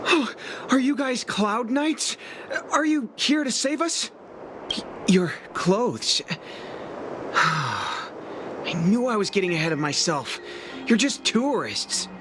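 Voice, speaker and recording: Anxious, high-voiced young apprentice craftsman. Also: big pitch swings, slow pace, pauses often, exaggerated voice. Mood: nervous, deflated. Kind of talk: video game character acting. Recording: loud game music behind voice. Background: game music.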